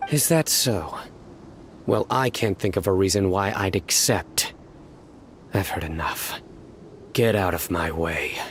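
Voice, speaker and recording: Casting: grave, guarded game investigator, low-voiced and deadpan, faint noise behind. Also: male voice, slow, pauses often. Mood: grave, composed. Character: stern, composed male investigator, lone and guarded. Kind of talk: video game voice acting.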